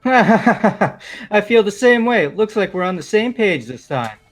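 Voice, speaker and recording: A man's voice: high voice, very animated, slow, faint music behind, exaggerated voice. A man voicing a casual, blunt, sarcastic young man in a fan-voiced game. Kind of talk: fan-voiced visual novel game acting.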